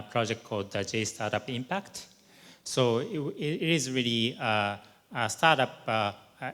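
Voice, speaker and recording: A man's voice: medium pitch, fairly bright tone. A man moderating a panel, polite and hesitant with fillers. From Japan. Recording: reverberant conference hall.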